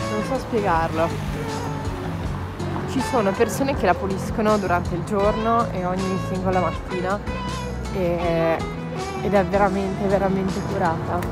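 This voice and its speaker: A woman in her twenties chatting excitedly as she vlogs outdoors on city streets, holding her phone at arm's length. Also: mid-range voice. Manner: chatty, excited, mixes English words. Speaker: vlogger. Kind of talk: casual travel vlog. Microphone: phone held at arm's length.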